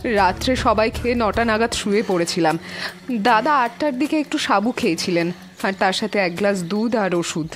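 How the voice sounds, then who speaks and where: A woman's voice: medium pitch, normal speed, faint music behind, close to an everyday voice. A woman playing an indignant, quarrelsome sister in an audio drama, complaining and accusing insistently. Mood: defensive.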